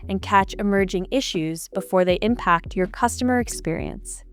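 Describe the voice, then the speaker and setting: A woman reading a scripted product walkthrough voice-over in a clear, even, explanatory way. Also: mid-range voice. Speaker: product demo narrator.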